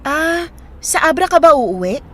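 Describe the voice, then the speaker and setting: A young woman in a radio drama, friendly and full of questions. Her voice sits high. She talks in a relaxed, conversational manner. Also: unhurried, exaggerated voice. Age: young adult. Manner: casual, conversational. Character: friendly, inquisitive young woman queuing at a bus terminal. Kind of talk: naturalistic radio drama dialogue in Tagalog.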